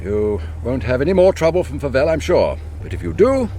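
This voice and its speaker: A gentlemanly, avuncular English colonel voiced by a man in a radio play. His talk is clipped and discreet, with very lively pitch and faint traffic noise behind. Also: fairly quick, natural voice. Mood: kindly, advising. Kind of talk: British radio drama acting.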